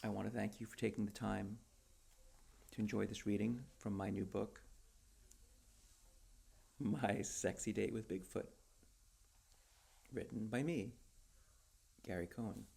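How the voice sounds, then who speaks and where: Man, low gravelly husky voice, unhurried dramatic storybook narration, author reading. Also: steady tone, darkish tone. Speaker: author reading own book.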